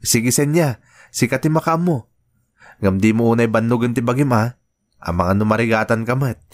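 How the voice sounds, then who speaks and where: A man's voice: medium pitch, normal speed, no echo, studio quality, close to an everyday voice. Young Ilocano husband, brusque played by a man. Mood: upbeat, tender. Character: young provincial husband, fond but quick-tempered and brusque. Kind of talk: Ilocano radio drama acting.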